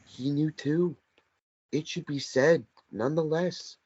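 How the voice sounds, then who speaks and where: Man reading the calm, insistent memory of a dead lover. Slow, husky delivery, muffled recording. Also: fairly high pitch. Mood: earnest. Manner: slow, gentle, earnest. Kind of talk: table read of a stage play. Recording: dull lo-fi sound.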